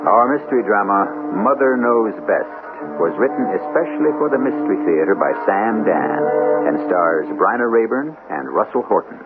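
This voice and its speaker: A man hosting and narrating a 1970s radio drama in a theatrical, deliberate, rhetorical manner. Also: on the low side, lively intonation, over loud music. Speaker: host and narrator. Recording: muffled old radio broadcast, clear hiss.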